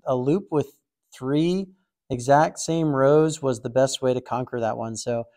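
A man hosting a coding tutorial, talking through a puzzle in a relaxed, thinking-aloud way with frequent fillers and restarts. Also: medium pitch, unhurried. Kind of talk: casual screen-recorded walkthrough.

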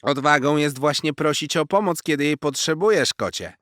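A man's voice: a little high, normal speed, fairly bright tone, no echo, clean studio sound, pitched above the performer's own voice, lightly acted. A man voicing a small know-it-all female squirrel from a children's story, teasing and scolding with lots of emphasis. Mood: teasing, lecturing.

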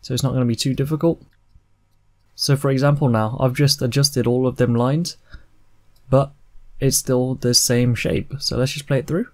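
A man in his twenties talks through a software tutorial at a mid-range pitch. His delivery is informal and explanatory, moving step by step in a casual narrating style. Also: steady tone, normal speed, pauses often. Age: 20s. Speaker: tutorial host.

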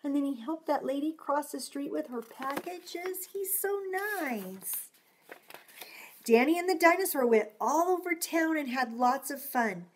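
A woman's voice: high-pitched, lively intonation, unhurried, a little hiss or hum. A middle-aged woman reading a storybook expressively to children, with simple chatty asides and questions. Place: living room at home.

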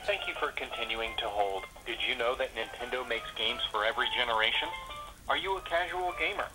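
A man's voice: mid-range voice, fairly quick, rough recording. A man reading a scripted promotional on-hold message in an upbeat ad style. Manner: upbeat ad read. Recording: hold recording over phone with music.

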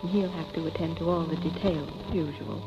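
An actress playing a poised, wry young wife in 1940s film style, cool and arch in manner. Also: female voice, sits low, fairly even intonation, fairly quick, natural voice. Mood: wry, musing. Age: young adult. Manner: cool, arch, composed irony. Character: wry, poised young wife attending her own funeral. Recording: dull old film soundtrack with music.

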